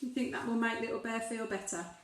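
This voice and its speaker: A woman reading a storybook expressively to young children, pausing to put gentle questions to the listeners. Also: on the high side, fairly even intonation, echoey room.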